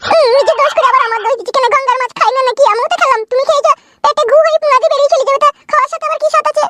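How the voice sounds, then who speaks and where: Woman doing a shrill whiny cartoon wife. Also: fairly even intonation, heavy background noise. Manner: whiny, quick. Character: sulky naive young wife, woman. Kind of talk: cartoon comedy dubbing.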